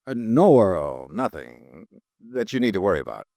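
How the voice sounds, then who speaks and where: Hard-boiled investigator narrator, dry and wry, lowish lively voice, dry close sound, old radio drama. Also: male voice, moderate pace, gravelly, dry recording. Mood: laconic. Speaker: detective narrator. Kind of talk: 1950s radio drama acting.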